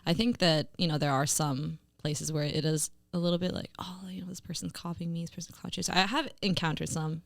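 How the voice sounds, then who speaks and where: Low-voiced woman podcast host. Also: steady tone, quick pace, gravelly, few pauses, no room sound. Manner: encouraging, frequent "mm-hmm" backchannels. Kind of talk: casual chat.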